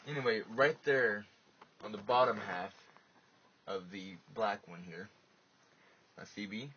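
A man's voice: fairly low pitch, steady tone, slow pace. A plain-spoken man giving a casual hands-on walkthrough as an informal vlogger, recorded on a handheld camera around a truck. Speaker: vlogger demonstrating an install. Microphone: handheld phone or small camera. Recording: muffled, noisy handheld camera audio.

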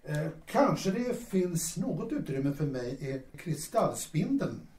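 A man's voice: fairly high pitch, very animated, a little mellow. A man playing a hesitant, excuse-making theatre actor in his twenties in an audio drama. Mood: hopeful. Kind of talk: Swedish audio drama acting. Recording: echoey, noisy, distant sound.

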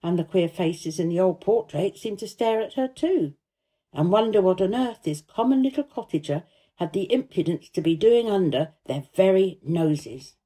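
A woman narrating a children's story as an expressive, scripted reading. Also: fairly low pitch, moderate pace. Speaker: storybook reader, actor.

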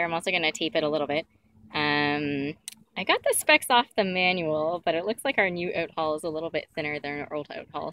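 A woman's voice: on the low side, a bit bright. A young woman casually narrating a sailing vlog from the deck of a sailboat, chatty and laughing often as she explains. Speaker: sailing vlogger. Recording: noisy outdoor camera audio, boat and water. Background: boat engine and water noise.

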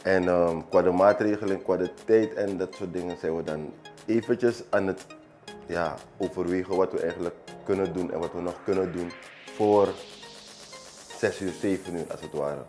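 Deep-voiced man with a Surinamese accent. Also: darkish tone. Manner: halting, repeats phrases for emphasis. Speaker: barber, small business owner interviewee. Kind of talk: unscripted interview talk. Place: small barbershop, indoors, seated. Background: background music.

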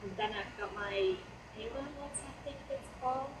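A woman's voice: a little high, moderate pace. A German teenage girl with a German accent and a slight cold, chatting casually and hesitantly over her gameplay. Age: teens. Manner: chatty, hesitant, many fillers. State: hoarse from a cold. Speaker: let's play host. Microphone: laptop mic. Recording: roomy, distant laptop mic with fan noise. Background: laptop fan noise.

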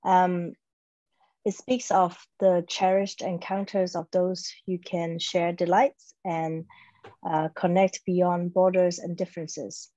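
A woman's voice: on the low side, little pitch movement, mellow, dull audio. A woman, a Cantonese speaker, giving careful, hesitant welcome remarks on a video call. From Hong Kong. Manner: slow, careful, hesitant with fillers. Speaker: guest speaker. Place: small home room, plain wall.

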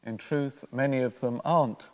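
Older priest in a reverberant church. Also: male voice, medium pitch, moderate pace. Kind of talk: homily, read from prepared notes. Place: church, at a lectern. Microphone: gooseneck lectern microphone.

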